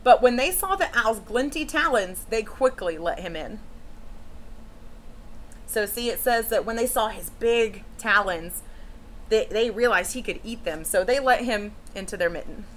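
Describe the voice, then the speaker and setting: Animated, high-voiced woman reading a children's story. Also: unhurried, a little hiss or hum, low-quality recording. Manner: animated, with asides and questions to children. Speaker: teacher reading a picture book to young students. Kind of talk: expressive children's read-aloud storytelling.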